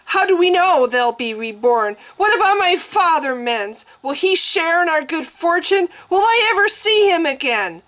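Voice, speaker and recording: A woman's voice: sing-song, moderate pace, hardly any pauses, fairly bright tone, heavily acted. Woman acting as a furious young priestess, screaming. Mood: enraged. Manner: shrill screaming outbursts.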